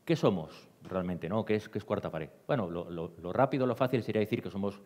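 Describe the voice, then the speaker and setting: A middle-aged man with a low voice presents informally to a panel event. The recording has the long reverb of a hall. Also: lively intonation, fast talker, a little mellow. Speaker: presenter, creative director. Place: dark small theatre stage, panel table.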